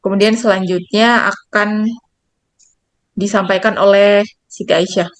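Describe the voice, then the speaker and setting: A woman's voice: mid-range voice, fairly even intonation, a little slow, dry recording. Young female student presenting a group project, reading slides, dry sound. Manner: reads slides, repeats herself. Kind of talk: class presentation.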